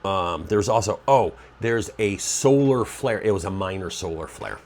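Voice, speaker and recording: Very low-voiced congested man. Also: lively intonation, normal speed, darkish tone, a little hiss or hum. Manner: rambling, conversational, stops to correct himself. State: congested, nasal from a cold. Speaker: preparedness channel host. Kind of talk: casual talk to camera.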